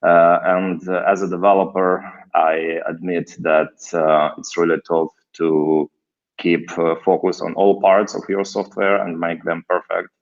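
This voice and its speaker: A very deep-voiced man with a Ukrainian accent speaks haltingly on a video call, pausing often with fillers. The call audio is dull and lo-fi. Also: little pitch movement. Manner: hesitant, many fillers and restarts. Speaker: guest developer. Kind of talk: casual video call interview.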